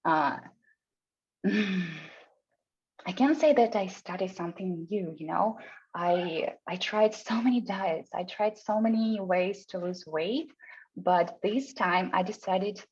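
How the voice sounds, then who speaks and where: A woman from Russia with a Russian accent, English her second language, speaking as a guest in a casual interview, often pausing and restarting her phrases. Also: lowish voice, darkish tone, breathy edge, lo-fi sound. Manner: hesitant, restarts phrases, non-native grammar.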